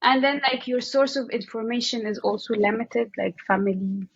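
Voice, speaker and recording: A woman's voice: a little high, breathy edge. Interview guest: woman with an Egyptian accent, conversational and searching for words, recorded muffled and a bit roomy. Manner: halting, repeats "like" as she thinks aloud.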